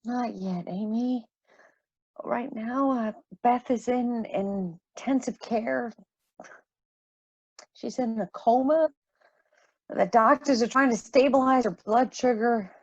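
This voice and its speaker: A woman's voice: on the high side, takes it slowly, pauses often, no echo. Troubled mother, dull dry recording woman acting. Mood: subdued, worried. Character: troubled, apologetic mother of teenage daughters. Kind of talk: modern radio play acting.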